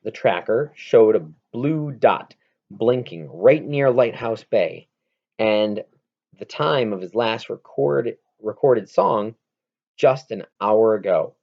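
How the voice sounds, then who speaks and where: A man reading a children's novel aloud as narration, in an expressive, amateur read-aloud manner. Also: lowish voice, slow, vocal fry, lo-fi sound. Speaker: audiobook reader.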